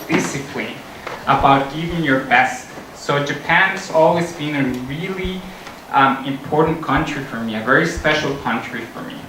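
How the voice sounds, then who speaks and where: A man's voice: a little high, sharp tone, a little hiss or hum. A middle-aged man from Costa Rica giving an earnest conference talk, with frequent fillers. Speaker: conference speaker. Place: large conference hall. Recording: echoey hall from far off, music.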